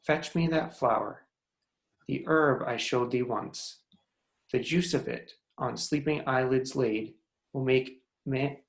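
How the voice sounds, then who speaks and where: A man's voice: mid-range voice, gravelly. A man playing a jealous, commanding fairy king in a theatrical, declamatory reading of Shakespearean verse. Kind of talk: Shakespearean verse table read. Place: remote video call reading.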